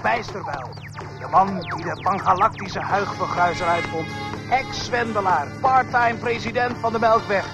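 An actor playing a slick, breezy galactic radio newsreader in a radio play, with a showy announcer delivery. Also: male voice, sits high, moderate pace. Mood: showy, upbeat. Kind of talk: radio news parody in a radio play. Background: loud music.

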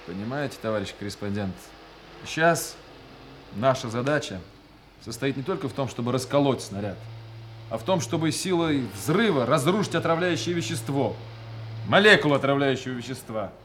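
An actor playing a strict but caring army major and demolition commander in a radio play. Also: male voice, medium pitch, lively intonation, moderate pace, a bit bright, a little hiss or hum, close to an everyday voice. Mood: earnest, explaining. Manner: clipped commands, explains patiently. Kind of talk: Soviet radio play acting.

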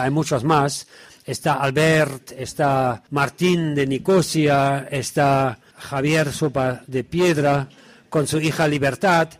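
A man presenting at a book launch in an informal, rambling, conversational way. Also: fairly high pitch. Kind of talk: informal spoken event remarks.

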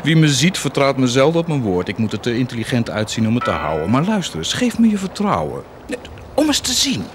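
An actor playing a cynical, taunting war commander in a radio drama, mocking and insistent, moving between murmurs and outbursts. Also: male voice, medium pitch, sing-song, fairly quick, a little hiss or hum, close to an everyday voice. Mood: mocking, coaxing.

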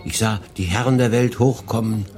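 A man's voice: fairly low pitch, steady tone, normal speed, close to an everyday voice. An actor playing a weary, reflective refugee who looks back and narrates his story in a brooding, confiding way in a radio play. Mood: haunted, reflective. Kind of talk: dramatic first-person narration in a radio play. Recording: music behind the voice.

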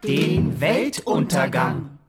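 A woman's voice: low-pitched, expressive, drawn-out words, loud music behind the voice, full character voice. A woman playing a demanding, sarcastic backer of a doomsday show in a radio drama.

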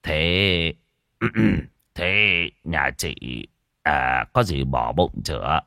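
A man voicing a kindly older village official in a storybook, halting and gently questioning in old-fashioned rustic speech. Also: rumbling low, big pitch swings, no echo, clean studio sound, pitched below the performer's own voice, full character voice. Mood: pitying, gentle.

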